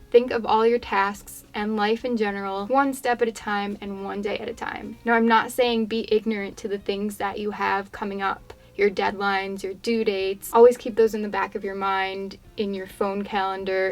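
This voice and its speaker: A woman's voice: normal speed, few pauses. Congested young woman, chatty craft voiceover. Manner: rambling, chatty, low-key and even-toned. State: congested, voice fading. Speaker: craft YouTuber. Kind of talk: casual voiceover chat over a painting demo.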